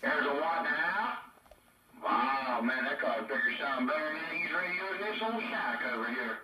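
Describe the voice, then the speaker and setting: A man's voice: fairly high pitch, expressive, normal speed, recorded from a distance, over music. A man chatting casually about radio gear in a folksy, colloquial drawl. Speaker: CB radio hobbyist. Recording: echo-effected, roomy sound from off mic.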